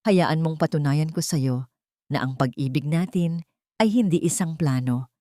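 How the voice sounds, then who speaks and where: A woman's voice: deep voice, measured pace, warm tone, no echo, studio quality. A woman voicing a lovestruck male CEO in a romance audio drama, pleading earnestly and emotionally.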